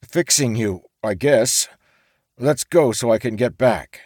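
A man's voice: mid-range voice, slow pace, a little mellow, breathy edge, no echo, clean studio sound, pitched above the performer's own voice. A man voicing a flustered, evasive male samurai. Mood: defeated. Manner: defensive, sputtering.

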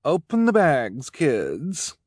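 A man voicing a haughty, gushing, fashion-obsessed rich woman for an audiobook, with affected emphasis on drawn-out words. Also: a little high, huge pitch swings, a little mellow, husky, no room sound, clean studio sound, voice pushed up, exaggerated voice. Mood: brisk, dismissive. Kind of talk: audiobook character voice.

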